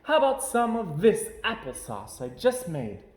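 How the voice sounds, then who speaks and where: Casting: gentle storybook mama bear played by a man, lifted voice, patiently reassuring, in an echoey room. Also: high voice, huge pitch swings, a little slow, pitched above the performer's own voice, exaggerated voice. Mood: gentle, calm. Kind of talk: children's picture book read-aloud.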